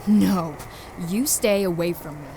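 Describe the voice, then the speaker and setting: An actress playing a young woman lost in a haunted corn maze, wary and then frightened, in a radio play. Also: fairly low pitch. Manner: wary and frightened, quick exclamations. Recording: clear background noise and ambience.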